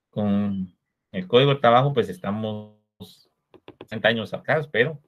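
A man in his thirties with a Guatemalan Spanish accent, giving an online law lecture and asking rhetorical questions. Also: fairly low pitch. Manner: explanatory, poses rhetorical questions. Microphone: webcam video call. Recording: lo-fi video call audio.

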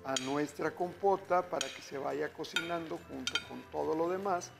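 A man's voice: on the high side, normal speed, gravelly, loud music behind the voice. Upbeat man hosting a TV cooking show. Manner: upbeat, chatty, keeps calling viewers "familia". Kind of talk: cooking demonstration, addressing viewers. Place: TV studio kitchen set.

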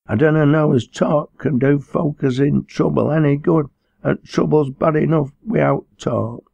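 Male narrator as a weak, tearful young Lancashire woman, lifted pitch, hesitant, aggrieved, audiobook dialogue, close dry sound. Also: measured pace, vocal fry, mellow, husky, no room sound, highs cut off, exaggerated voice. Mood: aggrieved, whimpering. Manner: faltering, whimpering, aggrieved. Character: frightened, weak, tearful young working-class mother.

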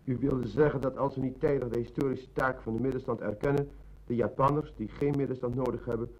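An actor playing a dutiful, studious son in a radio play, speaking earnestly as if reciting. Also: male voice, medium pitch, steady tone, a little fast, a little mellow, muffled recording, close to an everyday voice.

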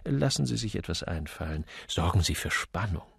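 Man reading as suave gentleman sleuth, urbane, wry, playful, creaky voice, dry audiobook sound. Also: low-pitched, lively intonation, moderate pace, no room sound, voice pushed down, heavily acted. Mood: playful, instructing. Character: witty, suave gentleman amateur detective. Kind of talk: audiobook character dialogue.

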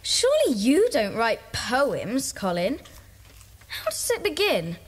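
Excitable British schoolgirl, high animated voice, emphatic, children's audio drama. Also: slow pace, slightly rough, exaggerated voice. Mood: excited.

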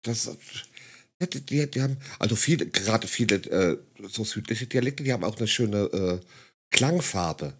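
Sarcastic low-voiced male commentator. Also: expressive, moderate pace, slightly rough. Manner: sarcastic, condescending. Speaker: satirical commentator. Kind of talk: mocking video commentary.

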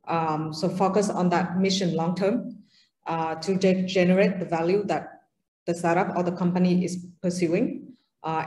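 A woman in her thirties takes part in an online panel over her webcam mic. Her voice is slightly low and the room around her rings noticeably. She talks steadily with frequent soft fillers. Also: echoey room, rough recording. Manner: fluent with many soft ums and uhs. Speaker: panelist, venture associate. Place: room on video call.

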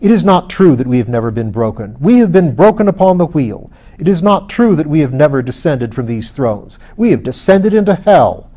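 Earnest male champion of order performed by a man, fervent, oratorical, storybook hero, raised pitch. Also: sing-song, slow pace, few pauses, darkish tone, heavily acted. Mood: fervent, indignant.

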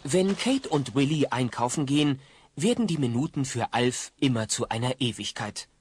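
Male narrator, husky, very animated, wry storyteller for a comedy audio play. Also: mid-range voice, normal speed, no room sound.

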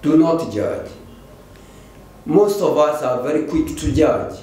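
An older man with a Kenyan accent, a pastor preaching a sermon slowly and deliberately into a pulpit microphone in a large church. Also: fairly high pitch, lively intonation, dark tone, slight background noise. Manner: slow, deliberate preaching with repeated phrases. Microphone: stand mic at the pulpit. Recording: very echoey church hall.

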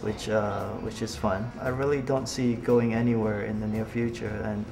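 Malaysian-born man, low flat easygoing voice. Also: moderate pace, gravelly, poor audio. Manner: relaxed, hesitant, many fillers. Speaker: architect. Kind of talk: casual interview. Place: bright hallway of an office building. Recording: music playing underneath.

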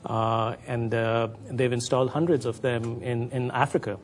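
A man in his forties speaking as an academic guest on a studio panel, explaining in a measured way and repeating points for emphasis. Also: mid-range voice, fairly even intonation. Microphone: lapel. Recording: clear background noise.

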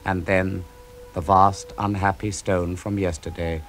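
A man narrating an epic poem in a scripted reading, with a measured and dramatic delivery. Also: sits low, little pitch movement, faint music behind.

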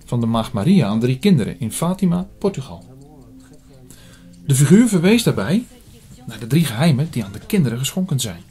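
A man playing an earnest priest in an audio drama, telling his story in a measured, formal way. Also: medium pitch, sing-song, warm tone, close to an everyday voice. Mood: earnest, explaining. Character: earnest male priest investigating an apocalyptic prophecy. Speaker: narrator and lead actor. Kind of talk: scripted audio drama, first-person narration. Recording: music under the voice.

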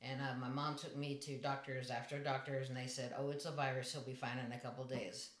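A man in his forties with a high voice, calmly telling his life story as an interview guest in a small room. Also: a little fast. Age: 40s. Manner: matter-of-fact, plain storytelling. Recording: echoey room.

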